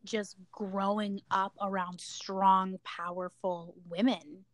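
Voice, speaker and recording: A woman's voice: medium pitch, level tone, takes it slowly, no echo. A woman hosting a podcast, upbeat and friendly, asking her guest follow-up questions in a casual chat. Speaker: podcast host.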